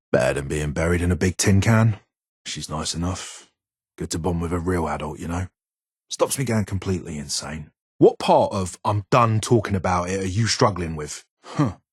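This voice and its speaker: Older ghoul, British accent, low pitch, extremely husky, irritable, curt, video game dialogue. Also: male voice, dry recording, clean studio sound. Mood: guarded, weary. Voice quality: raspy, gravelly. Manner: curt, guarded, grumbling. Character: gruff, irritable older male ghoul weapons engineer.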